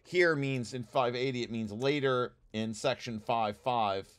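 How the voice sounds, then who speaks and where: A man giving an informal technical walkthrough, explaining as he reads and often stopping to correct himself. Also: mid-range voice. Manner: halting, self-correcting, explanatory. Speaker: presenter reading through own technical paper.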